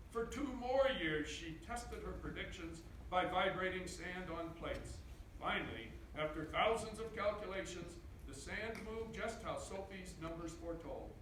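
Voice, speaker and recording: High-voiced man reading aloud in echoey hall. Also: sharp tone. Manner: deliberate, dramatic storytelling emphasis. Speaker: assembly host reading a book aloud. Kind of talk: expressive picture-book read-aloud. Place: large echoey indoor school assembly space. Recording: echoey hall, distant mic, faint noise.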